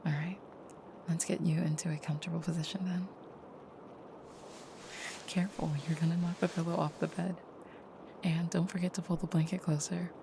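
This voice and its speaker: A woman playing a tender, soft-spoken vampire girlfriend in an intimate audio roleplay, with a breathy near-whisper and gentle, slightly formal phrasing. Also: on the low side, level tone, moderate pace, pauses often, background noise. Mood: tender, soothing.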